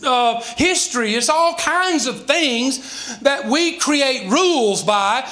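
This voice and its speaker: A man's voice: very high-pitched, lively intonation, slow pace, bright, forceful tone. A man in his sixties with a Southern American accent, preaching a sermon emphatically, with rhetorical questions and folksy asides. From Southern United States. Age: 60s. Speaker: pastor.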